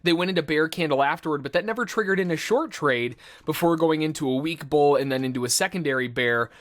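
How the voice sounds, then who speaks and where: A man's voice: a little high, fast talker. A man working as a trading instructor, giving informal, explanatory live commentary full of trading jargon in a conversational way.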